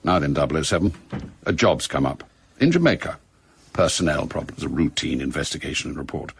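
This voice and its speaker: A man acting in a radio drama as a middle-aged British intelligence chief, brisk, authoritative and clipped. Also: very deep voice, animated, vocal fry, husky, full character voice. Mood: commanding.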